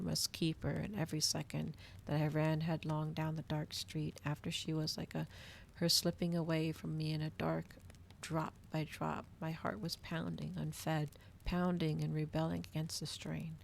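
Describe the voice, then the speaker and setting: A woman reading a novel aloud in a hushed ASMR style, slipping into whispers, close to the microphone. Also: low voice, vocal fry, slight background noise.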